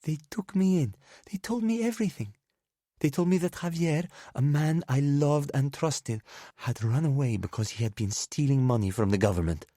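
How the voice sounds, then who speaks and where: A drunk, betrayed woman spits out accusations in a rushed, bitter torrent, as a male narrator puts her on. The voice sits well above his own and strains upward as her anger builds. It is recorded close and dry, like a storybook reading. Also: a little high, expressive, dark tone, no echo, voice pushed up. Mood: furious. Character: drunk, furious Spanish woman, betrayed lover.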